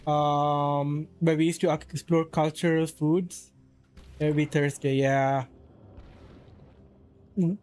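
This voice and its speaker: Slow-talking chatty male streamer. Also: a little high, little pitch movement, a little mellow, soft background music. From Saudi Arabia. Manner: rambling, chatty, reading and answering chat. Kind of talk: casual live-stream chat.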